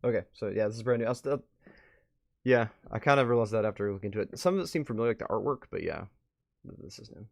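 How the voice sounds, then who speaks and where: A man's voice: on the low side, animated, quick pace, creaky. A man live streaming a game, moving between reading on-screen text aloud and offhand, muttered reactions.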